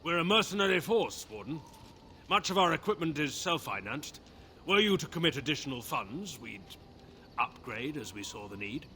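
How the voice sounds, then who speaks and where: A man playing a courteous, businesslike mercenary officer in a video game, speaking in a formal, measured and deferential way. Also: fairly high pitch, very animated, sharp tone, a little hiss or hum. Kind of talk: video game character dialogue.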